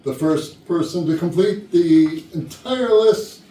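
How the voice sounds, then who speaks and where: An actor playing a flustered, officious boss at an office Christmas party in a staged comedy reading. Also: male voice, fairly high pitch, slow pace, dark tone. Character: flustered man boss hosting office Christmas party, trying to keep order. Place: indoor rehearsal room, mic at a distance. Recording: roomy distant rehearsal recording, faint noise.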